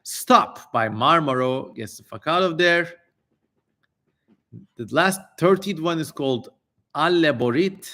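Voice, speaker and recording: A man's voice: a little high, animated, slow pace, pauses often, bright, forceful tone. A man doing a dramatic live reading of an old text, theatrical on strange names, with casual, irreverent, sweary asides. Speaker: streamer reading an ancient text aloud.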